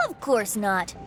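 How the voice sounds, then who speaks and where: High, playful boyish bard. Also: female voice, heavily acted. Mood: mock innocent. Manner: breezy, theatrical, teasing. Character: playful, teasing boyish young male bard. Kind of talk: video game character acting. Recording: game music behind the voice.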